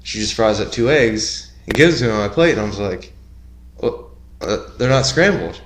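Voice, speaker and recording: A man's voice: on the low side, lively intonation, normal speed. Profane man in his thirties, echoey room. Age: 30s. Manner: profane, rambling storyteller. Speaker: podcast co-host. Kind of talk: casual chat. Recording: echoey room with faint hiss.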